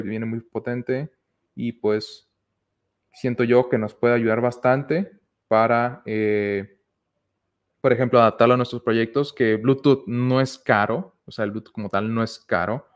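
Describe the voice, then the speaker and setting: A man's voice: mid-range voice, fairly even intonation, measured pace. Hesitant young man. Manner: hesitant, many fillers and restarts. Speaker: meetup speaker. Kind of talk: casual technical presentation. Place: small room with whiteboard, indoors. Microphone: headset with boom mic.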